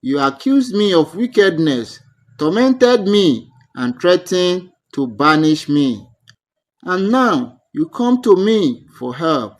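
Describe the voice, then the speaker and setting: A man plays a wronged healer woman in a village folktale. She is dignified and firm, speaking very slowly and with measured calm, her pitch moving expressively. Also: exaggerated voice. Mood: quietly reproachful.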